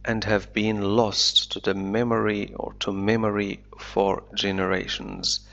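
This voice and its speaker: A man teaching English, reading a novel aloud slowly and deliberately and explaining it line by line, an Arabic speaker. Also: lowish voice, little pitch movement, vocal fry, a bit bright. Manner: slow deliberate reading, then quick explanations. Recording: dull lo-fi recording.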